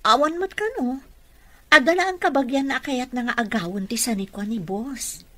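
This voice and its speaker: An earnest female therapist in an Ilocano radio drama. The voice is fairly high and very bright, with an excitable, forthright delivery. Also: moderate pace.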